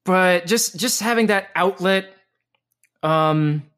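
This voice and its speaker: This is an enthusiastic man who teaches voice and acts. He talks in bursts of lively energy, often stops to rephrase himself, and sounds easy and conversational throughout. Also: high voice, takes it slowly, clear, resonant tone. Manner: energetic and animated, with frequent restarts. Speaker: voice actor and voice teacher. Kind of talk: casual interview chat.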